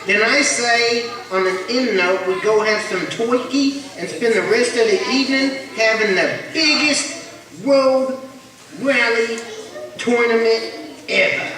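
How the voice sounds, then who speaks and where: A man's voice: very high voice, measured pace, pushed and bright, full character voice. A man voicing an enthusiastic, friendly young male puppet in a church show, slangy and exuberant. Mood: excited. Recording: echoey hall, far mic, crowd.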